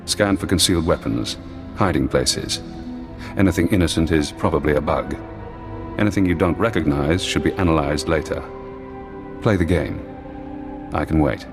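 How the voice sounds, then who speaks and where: An actor playing a brilliant, paranoid imprisoned intelligence analyst in a video game, speaking in measured, clipped commands. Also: male voice, very deep voice. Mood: cool, controlling. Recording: long hall-like reverb, music behind. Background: game music.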